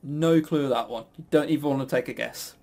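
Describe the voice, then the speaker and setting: A man's voice: medium pitch, a little fast, darkish tone. A man hosting a casual quiz, chuckling and teasing as he banters. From a Western country. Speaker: quiz host.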